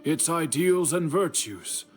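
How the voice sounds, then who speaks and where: A man voicing a middle-aged uncle in a game, speaking in a measured, formal, courteous way. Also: fairly high pitch, lively intonation. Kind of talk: game voice acting. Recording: game dialogue over faint music.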